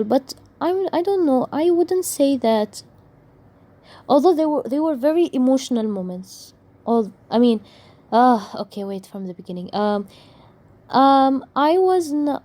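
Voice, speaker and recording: A young woman whose first language is Arabic, ranting about books in a casual vlog. Her voice sits a touch high and sounds mellow and relaxed. She rambles and restarts her sentences, dropping sarcastic asides. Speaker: book vlogger. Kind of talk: casual book rant.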